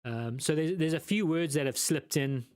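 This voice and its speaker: A man's voice: a little high, moderate pace, a little mellow, dry recording, studio quality. A man from South Africa with a South African accent, hosting a casual live stream and chatting with viewers, rambling and self-deprecating with many ums and restarts. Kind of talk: casual live chat reading viewer comments.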